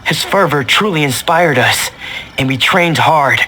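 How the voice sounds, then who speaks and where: Earnest young sword disciple. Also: male voice, on the high side, lively intonation, a little slow, bright, forceful tone, a little hiss or hum, poor audio. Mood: earnest, explanatory. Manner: formal, earnest. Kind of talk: video game voice acting.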